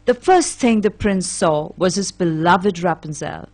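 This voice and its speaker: A woman's voice: fairly low pitch, lively intonation, measured pace, a little mellow, a little hiss or hum. A woman narrating a children's fairy tale as a scripted storyteller.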